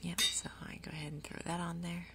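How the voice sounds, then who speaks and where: A woman giving soft-spoken ASMR game commentary in a breathy, gentle, unhurried way. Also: fairly low pitch, level tone, a little hiss or hum. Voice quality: breathy, whispery. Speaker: gaming video host.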